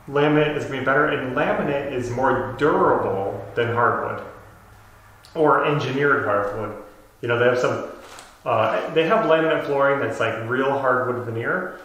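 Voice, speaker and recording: A man's voice: medium pitch, a bit bright. A man in his thirties talking casually to camera, explaining things as a home renovation vlogger. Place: empty bedroom under renovation, indoors. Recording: very echoey empty room.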